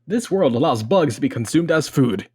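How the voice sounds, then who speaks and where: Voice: mid-range voice, animated, moderate pace, darkish tone, breathy edge. A podcast panelist talking casually in a group discussion. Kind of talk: casual chat.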